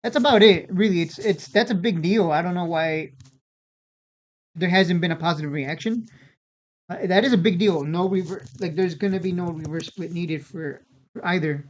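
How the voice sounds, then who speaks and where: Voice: medium pitch, normal speed, highs cut off. A stock video host giving casual, unscripted market commentary in an informal way, often repeating and restarting phrases.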